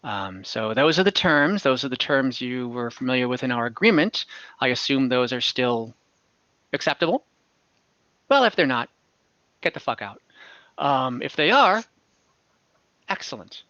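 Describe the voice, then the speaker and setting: A man narrating a tabletop fantasy game as game master over a video call, in a halting, improvised way. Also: mid-range voice, lively intonation, sharp tone, dry recording. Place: indoors at home, on a video call. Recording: slightly dull online call audio.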